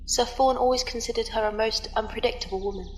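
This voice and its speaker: A woman playing a composed squire and advisor in an audio drama, speaking calmly, precisely and formally. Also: a little high, quick pace, slight background noise, lightly acted, low-quality recording. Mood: calm, advising.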